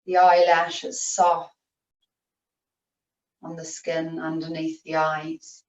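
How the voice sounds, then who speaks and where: A woman yoga teacher leading a guided meditation, giving soothing, unhurried instructions with long pauses. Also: low-pitched, completely flat delivery, darkish tone. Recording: roomy, distant, muffled video-call audio.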